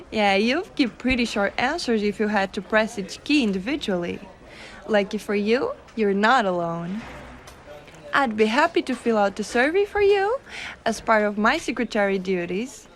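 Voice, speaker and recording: A woman playing a gentle, doting, subtly dominant giantess secretary in an intimate ASMR roleplay, reassuring and softly teasing the listener. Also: mid-range voice, lively intonation, measured pace, close to an everyday voice. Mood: helpful, lightly teasing. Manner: soft, reassuring, teasing, coaxing.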